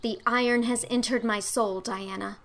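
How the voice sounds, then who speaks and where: A woman's voice: fairly high pitch, steady tone, normal speed, fairly bright tone. A woman voicing a proud, hurt schoolgirl with a passionate, emphatic, dignified delivery in a storybook reading. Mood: wounded, firm. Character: fiery, proud, hurt schoolgirl with dramatic flair.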